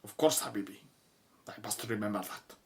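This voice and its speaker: A man playing a gentle, pensive Egyptian doctor in an audio drama, soft-spoken and wistful, with formal wording. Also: lowish voice, fairly quick, heavy background noise, close to an everyday voice.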